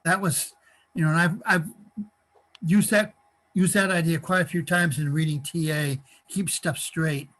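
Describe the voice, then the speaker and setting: Halting older man, higher voice. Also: fairly even intonation, fairly bright tone, poor audio. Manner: halting, repeats words, chuckles often. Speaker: workshop participant. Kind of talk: casual chat.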